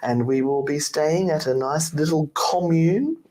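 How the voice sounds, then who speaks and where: An older Australian woman co-hosting a radio show, hoarse from a cold, chatty and joking in studio banter. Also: sits low, measured pace, mellow. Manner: chatty, joking, breaks into laughs. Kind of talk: casual banter on air.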